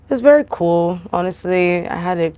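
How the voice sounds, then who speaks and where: Casting: young woman from Nigeria, low voice, easygoing and chatty, loose interview guest. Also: moderate pace, clear, resonant tone, no echo. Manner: relaxed, chatty, many fillers. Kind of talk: casual interview.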